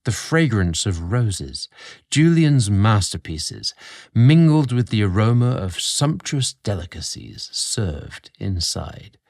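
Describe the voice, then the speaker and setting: A man narrating a scripted romantic story in a measured, expressive storybook manner. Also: lowish voice.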